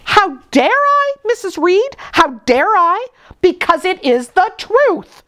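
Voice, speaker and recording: A woman plays a defiant young orphan girl from a classic storybook. The voice is impassioned and emphatic, with pitch that swings widely. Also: very animated, takes it slowly, fairly bright tone, heavily acted. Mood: anguished outburst. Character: passionate, defiant young orphan girl confronting her aunt.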